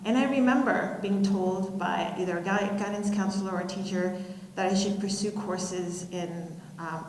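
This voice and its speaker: A middle-aged woman giving a keynote speech, telling personal stories in a measured, reflective way with pauses. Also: medium pitch, darkish tone. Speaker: keynote speaker. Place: school auditorium, live audience. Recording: roomy hall reverb.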